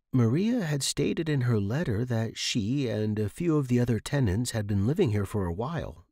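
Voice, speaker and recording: A man's voice: mid-range voice, creaky, darkish tone, no room sound, clean studio sound. A man reading scripted first-person fiction as the narrator.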